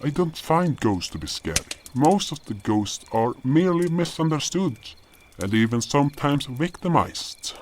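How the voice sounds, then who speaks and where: A man playing an old, gentle spirit guardian, earnestly telling his tales in an audio drama, in a measured, solemn way. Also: a little high, very animated. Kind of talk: audio drama first-person narration and dialogue. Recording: noisy track with cricket ambience. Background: crickets and outdoor night ambience.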